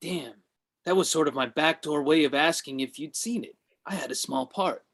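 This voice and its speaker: A man's voice: on the high side, normal speed, fairly bright tone. A man playing a pushy, deluded washed-up actor with an earnest, self-important manner in a comedy table read. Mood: indignant, wistful. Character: Drama, pushy deluded male washed-up actor pitching ideas.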